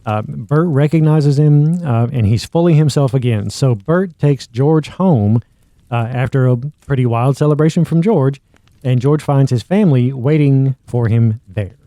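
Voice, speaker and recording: A male podcast host recaps a story conversationally. His voice is very dark and mellow at an average pitch, recorded dry and close. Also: a little slow, no room sound.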